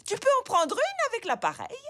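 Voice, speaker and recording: A woman's voice: very high-pitched, huge pitch swings, brisk, fairly bright tone, full character voice. A woman voicing a cheery, slightly vain elderly grandmother zebra in a children's cartoon. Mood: delighted.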